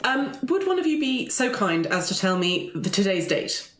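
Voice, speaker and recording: A woman's voice: medium pitch, very animated, normal speed, fairly bright tone, reverberant. A woman playing a well-mannered, flustered but self-assured Victorian time traveller in a tabletop game. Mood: polite.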